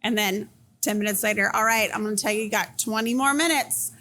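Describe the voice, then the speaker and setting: A woman bartender with a loud teacher voice, chatting animatedly on a podcast. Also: medium pitch, brisk, a little hiss or hum. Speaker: bartender guest. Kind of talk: casual chat.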